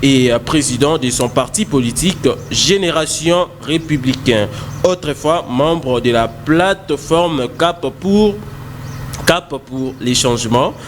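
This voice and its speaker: A man's voice: on the high side, slow pace, a little hiss or hum. A man with a Congolese French accent, co-hosting a morning show and reading news with frequent hesitations. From Congo (DRC). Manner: hesitant, many fillers while reading.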